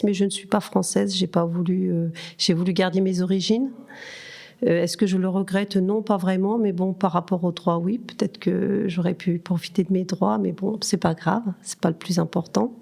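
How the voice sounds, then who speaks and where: Woman in her 50s, soft dark tone with some fry, thinking out loud and hesitating. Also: fairly low pitch. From Turkey. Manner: hesitant, asks herself questions. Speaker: podcast guest. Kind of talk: reflective personal testimony.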